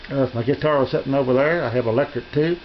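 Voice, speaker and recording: An American man giving a casual, unscripted tour of his radio room in a halting, rambling, folksy and polite way. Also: medium pitch. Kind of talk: casual unscripted show-and-tell narration. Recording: dull lo-fi home video, faint noise.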